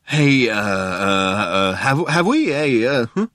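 A man's voice: mid-range voice, very animated, moderate pace, fairly bright tone, no echo, studio quality. A man voicing a laid-back, evasive alien show-off in a comic audiobook, with affected nonchalance. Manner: affected nonchalance, hesitant stammering when cornered.

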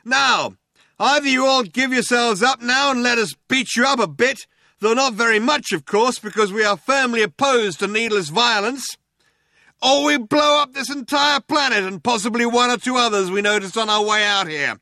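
A man voicing a shouting, trigger-happy cop who earnestly insists on how sensitive he is, in a comic science fiction audiobook. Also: very high-pitched, normal speed, no room sound, voice pushed up, full character voice. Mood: menacing, earnest. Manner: shouting, earnest, self-justifying. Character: loud-hailer cop, shouting, self-consciously sensitive, trigger-happy tough guy.

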